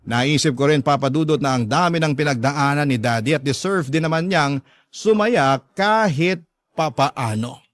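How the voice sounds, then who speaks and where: A man's voice: fairly high pitch, moderate pace, no echo, studio quality. A man with a Filipino accent narrating a listener's letter in the first person, as a dramatic radio storyteller.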